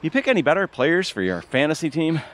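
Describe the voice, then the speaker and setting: A man's voice: a little high, sing-song, quick pace, a bit bright, a little hiss or hum. A man playing a flippant, eager retired husband in audio theatre, with a glib, teasing, jaunty manner. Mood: jovial, cocky.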